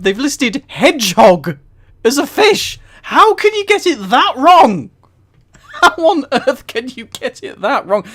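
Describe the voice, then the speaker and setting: A live streamer with a mid-pitched voice reads aloud in a casual, chatty way. The delivery is amused and incredulous, breaking off for mildly sweary commentary to the viewers. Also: fairly bright tone. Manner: amused, often laughing while reading. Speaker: streamer and host.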